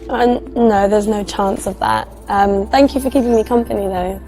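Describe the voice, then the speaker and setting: A woman's voice: medium pitch, normal speed, warm tone, lightly acted. A woman acting a polite, reluctant date in a dating game scene, with music behind her. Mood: awkward, apologetic. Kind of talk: acted dating-game cutscene dialogue.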